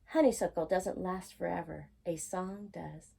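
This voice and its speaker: A woman voicing a gentle, delighted mother mouse in a storybook, tender and appreciative. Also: on the low side, steady tone, a little slow, vocal fry, slightly rough, full character voice. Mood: tender, pleased.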